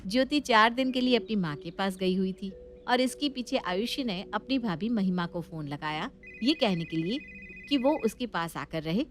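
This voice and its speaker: A woman's voice: mid-range voice, lively intonation, moderate pace. Woman storyteller over faint background noise. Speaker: narrator. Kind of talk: scripted Hindi family story narration.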